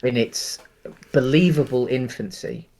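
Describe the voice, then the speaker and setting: A man co-hosting a casual film discussion podcast, speaking in a hesitant, halting way. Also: mid-range voice, darkish tone, low-quality recording. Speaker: podcast co-host.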